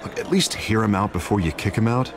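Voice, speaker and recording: An actor playing an affable, persuasive politician in a video game, with a smooth-talking, coaxing manner. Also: male voice, fairly low pitch, a little fast, noisy background, natural voice. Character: affable, persuasive male politician, eager to please.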